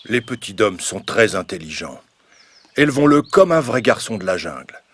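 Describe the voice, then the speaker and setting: A man's voice: on the low side, sing-song, normal speed, a bit bright, husky, full character voice. A man voicing a wise wolf leader in a children's storybook, solemn and instructing. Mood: solemn, commanding. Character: wise male wolf leader, grave and commanding. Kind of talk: storybook cartoon dialogue.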